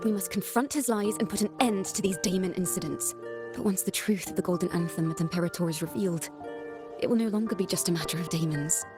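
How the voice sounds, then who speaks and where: A woman playing a thoughtful, earnest young traveling companion in a video game, speaking in a lyrical, measured way. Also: on the low side, music in the background, natural voice. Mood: serious, reflective. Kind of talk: scripted video game dialogue.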